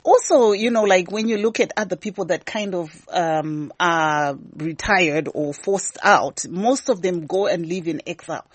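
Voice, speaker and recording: Low-voiced Zimbabwean woman radio host. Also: measured pace, hardly any pauses, husky, no room sound. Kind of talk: live talk show, reading listener messages, switching between Shona and English.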